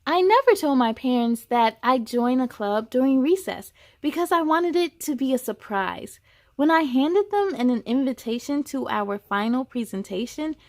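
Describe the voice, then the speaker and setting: A woman reading a storybook aloud as a children's host, animated and speaking directly to young listeners. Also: high voice. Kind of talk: expressive storybook read-aloud with chatty asides to children.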